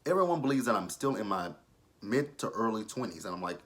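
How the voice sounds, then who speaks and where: Blunt, forceful thirty-year-old man. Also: fairly low pitch, very animated, fast. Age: 30s. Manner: blunt, opinionated, swears freely. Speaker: TV show reviewer. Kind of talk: casual review vlog commentary.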